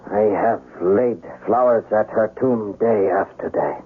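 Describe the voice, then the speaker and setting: A man's voice: fairly low pitch, measured pace, slightly rough. A man playing a proud, devoted young samurai master in a radio drama, formal and earnest. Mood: shaken. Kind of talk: old-time radio drama acting. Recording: muffled radio recording with faint noise.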